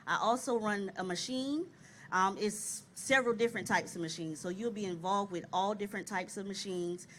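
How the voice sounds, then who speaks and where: A woman's voice: medium pitch, moderate pace, a little hiss or hum. Brief: thirties woman, plain-spoken and cheerful, outdoor panel with faint ambience. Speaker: panelist, packaging operator. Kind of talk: panel discussion. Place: outdoor stage.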